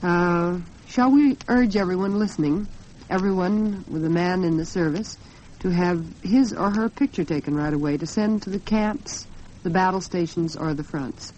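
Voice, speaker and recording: A woman's voice: on the low side, normal speed, darkish tone. An American woman hosting a 1940s radio talk program, speaking conversationally and straight to her listeners. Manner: conversational, addresses listeners directly. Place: radio studio. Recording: muffled, noisy 1940s radio broadcast recording.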